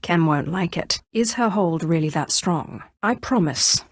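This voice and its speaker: Haughty, low-voiced Sith sorceress. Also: female voice, animated, measured pace, dry recording, clean studio sound. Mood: composed. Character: haughty young female Sith sorcerer, sly and imperious. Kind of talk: scripted video game voice acting.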